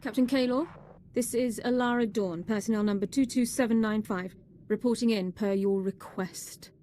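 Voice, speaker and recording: A dutiful young female army medic from a video game, played by an actress. Her voice is fairly high and very soft-edged, and she speaks with precise, formal deference. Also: fairly even intonation, moderate pace, slight background noise, close to an everyday voice. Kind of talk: video game dialogue acting.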